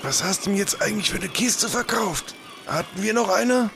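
A man's voice: sits high, lively intonation, normal speed, gravelly, background noise, voice pushed up. A man voicing a timid, simple character with a hesitant, naive manner in an improvised audio play. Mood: curious, mild.

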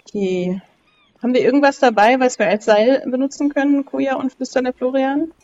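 A woman's voice: high-pitched, fairly even intonation, normal speed, a little mellow, dry recording, highs cut off. A woman in casual role-play as a game player, thinking aloud a little tentatively as she decides what to do.

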